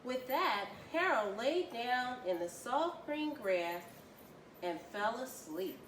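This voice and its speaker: A woman reading a children's story aloud with expressive, deliberate storytelling. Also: fairly high pitch. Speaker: volunteer children's story reader. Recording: roomy, distant mic, faint noise.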